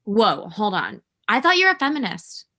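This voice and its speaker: Brash, animated spiteful schemer played by a woman. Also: medium pitch, normal speed, heavily acted. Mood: sardonic. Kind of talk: comedy script table read.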